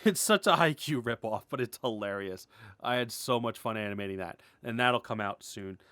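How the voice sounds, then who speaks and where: Man, mid-pitched voice, enthusiastic, rambling casual vlog delivery, informal wording, very dry close sound. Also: normal speed, a bit bright, smooth, no room sound. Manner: enthusiastic, gushing; rambling, informal, heavy use of "like". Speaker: indie animator, writer and series creator. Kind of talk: casual, unscripted update vlog.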